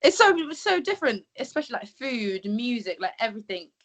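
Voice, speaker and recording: A woman co-hosting a casual podcast, speaking in an animated way and often checking that her listeners follow her. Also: a little high, fairly quick. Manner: animated, laughs easily, checks in with "do you get what I mean". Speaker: podcast co-host. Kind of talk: casual conversational interview.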